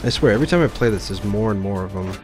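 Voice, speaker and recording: A man's voice: on the low side, expressive, rapid-fire, music in the background. A man giving casual, informal let's play commentary, explaining his choices as he plays. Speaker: gaming video host.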